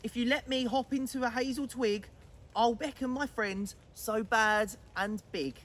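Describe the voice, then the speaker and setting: A woman voicing a small, quick-witted, confident mouse in a children's storybook. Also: fairly high pitch, fairly even intonation. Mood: cunning.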